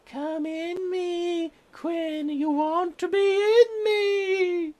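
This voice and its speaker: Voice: very high voice, steady tone, drawn-out words, a little mellow, pitched above the performer's own voice, exaggerated voice. A man voicing the ocean as a creepy, crooning seductive creature for a comic game bit.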